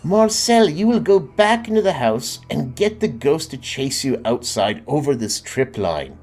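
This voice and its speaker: A man's voice: medium pitch, big pitch swings, a little slow, a little mellow, faint music behind, voice pushed up. A man doing an eager, bossy schoolboy. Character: bold young boy Jack, clever leader.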